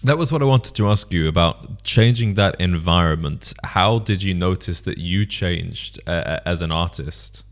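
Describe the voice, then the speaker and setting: A man talking as a podcast host, articulate and measured in a conversational interview style. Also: low-pitched.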